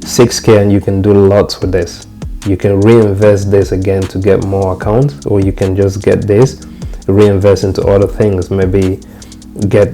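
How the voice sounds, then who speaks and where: A man's voice: on the low side, mellow. A young man from Cameroon hosting a trading channel, talking viewers casually through his screen and repeating his phrases as he goes. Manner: repetitive, restarts phrases, talks to viewers as "guys".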